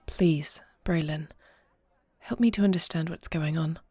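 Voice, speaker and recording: Woman as regal warrior princess, husky, dark-toned, formal, solemn, earnest, close dry audio roleplay. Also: low-pitched, steady tone, no room sound. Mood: gentle, probing. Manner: formal, solemn, deliberate phrasing. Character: young adopted princess and war leader, earnest, measured, regal. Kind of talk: scripted audio roleplay acting.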